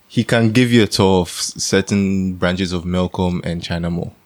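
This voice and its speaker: A man's voice: sits low, unhurried, darkish tone, dry recording. A man with a Ghanaian accent co-hosting a podcast, chatty and repeating words as he talks. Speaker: podcast co-host, journalist. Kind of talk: casual chat.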